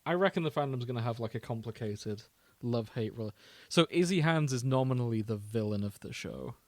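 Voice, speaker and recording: Male co-host, mid-pitched, dry recording, conversational explainer who searches for his words. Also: lively intonation, fairly quick, darkish tone, dry recording. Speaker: podcast co-host. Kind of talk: casual chat about a TV show.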